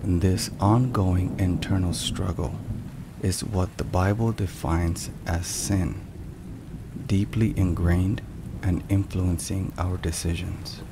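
Man, low pitch, unhurried pace, hushed ASMR delivery, scripted devotional narration, measured. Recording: steady rain and thunder noise. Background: rain and thunderstorm sounds.

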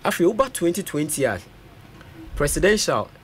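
A man's voice: a little high, very animated, normal speed, a little hiss or hum. A young Ghanaian man speaking as a parliamentary aspirant in a radio studio interview, mixing Twi with English and reeling off vote figures at a studio mic. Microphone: studio mic on a boom.

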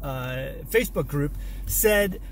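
A man's voice: fairly high pitch, lively intonation, slow, slight background noise. A man in his thirties hosting a homesteading channel, talking to a phone held at arm's length in a parked car, emphatic and repeating words for emphasis. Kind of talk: casual selfie vlog rant. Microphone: phone or camera at arm's length.

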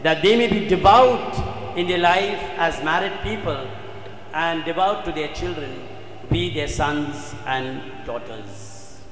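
Slow, echoing priest preaching solemnly. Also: male voice, a little high, fairly bright tone. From India. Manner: solemn, emphatic, dramatic delivery with repeated phrases and pauses. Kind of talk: sermon. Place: inside a church. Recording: echoey church hall, faint background noise.

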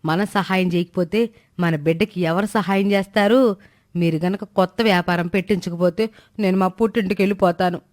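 A woman's voice: lowish voice, steady tone, a little fast, a little mellow, voice pushed down, natural voice. A woman voicing a doting older mother who scolds, pleads and nags in a dramatic way in a moral story. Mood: pleading, insistent.

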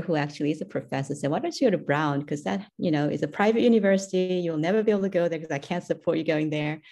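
A woman's voice: low-pitched, warm tone. A middle-aged woman from South Korea whose first language is Korean, a neuroscience professor speaking as a guest in a casual interview over a video call, thoughtful, with many "um"s and light laughs. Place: home room, indoors.

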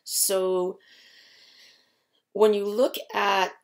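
A woman's voice: medium pitch, little pitch movement, extremely slow. A middle-aged woman giving informal market commentary in a conversational, explanatory way. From Canada. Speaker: lumber market analyst and host. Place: small indoor office with shelves of files.

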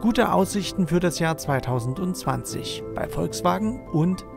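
Higher-voiced male news narrator over music. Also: animated, a little slow, creaky. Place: voice-over recording with music behind.

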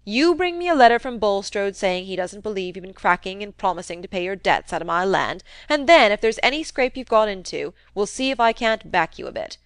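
Crabby old landowner, loud and pushy, dry close sound, a woman reading him. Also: medium pitch, animated, brisk, hardly any pauses, no room sound, lightly acted. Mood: cantankerous. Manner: gruff, wheedling, loud and emphatic. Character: cantankerous domineering old country man.

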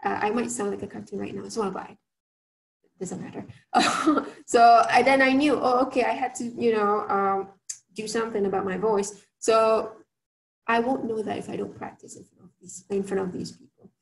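A soft-voiced woman from Malaysia giving a workshop talk over a video call, chatty, with many fillers and restarts. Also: mid-range voice, steady tone, moderate pace, creaky, low-quality recording. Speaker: workshop speaker. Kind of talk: online presentation talk.

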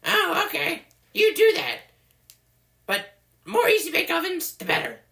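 A cocky bird gang leader from a game, as a man puts him on, talking in a very high, bright, gravelly squeak. He swoops up and down and throws out smug insults. It is a loose comedic fan dub. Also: sing-song, full character voice. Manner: smug, bossy, quick to insult.

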